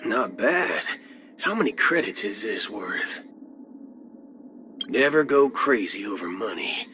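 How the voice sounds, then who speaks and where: A man's voice: on the low side, very animated, slow pace, background noise. A man playing a cocky cyborg cowboy outlaw in a video game, with a swaggering, folksy drawl. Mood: musing, wry. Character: cocky cyborg cowboy gunslinger outlaw, a male galaxy ranger. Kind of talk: video game character voice acting. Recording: music behind the voice. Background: music.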